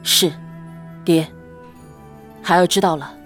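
Casting: young husband for costume radio play, female actor, lowish voice, courteous, calm and tender. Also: slow pace, faint music behind. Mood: calm, dutiful. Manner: composed, polite. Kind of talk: Chinese costume audio drama acting.

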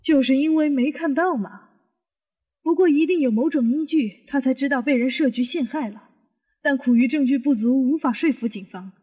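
An actress playing a suspicious middle-aged aunt who questions and reasons step by step in a Chinese audio detective drama. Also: female voice, high voice, moderate pace, close to an everyday voice. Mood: insistent. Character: inquisitive, suspicious middle-aged aunt probing a supposed suicide. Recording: muffled, long hall reverb.

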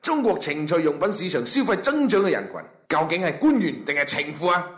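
An actor playing a stern, scornful company interviewer in a comic radio drama, formal and lecturing, with a Guangzhou Cantonese accent. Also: male voice, on the high side, very animated, fairly quick, fairly bright tone. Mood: businesslike. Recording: echoey room.

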